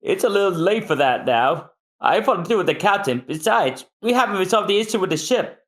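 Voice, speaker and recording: A man's voice: sits high, animated, a little fast, pitched above the performer's own voice. A man voicing a young male pirate who lectures insistently in a raised voice. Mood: lecturing.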